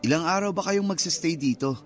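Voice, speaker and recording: A man's voice: on the high side, brisk, lightly acted. An actor playing a friendly, casual male nurse in his thirties in a Filipino radio drama. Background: music.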